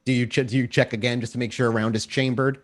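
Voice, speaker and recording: Man narrating a tabletop game, mid-pitched. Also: little pitch movement, fast. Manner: descriptive, conversational. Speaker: game master.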